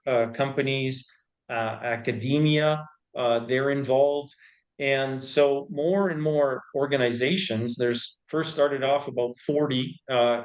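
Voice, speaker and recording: A man speaks as a company executive being interviewed. His voice sits at an average male pitch. He explains things step by step in halting phrases, often repeating a word before carrying on. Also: rough recording. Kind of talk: explanatory business interview talk.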